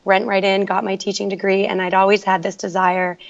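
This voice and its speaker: A woman's voice: mid-range voice, little pitch movement, brisk, vocal fry. A woman working as a teacher, telling her story earnestly in a podcast interview. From Canada. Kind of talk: conversational interview. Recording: dull lo-fi remote audio.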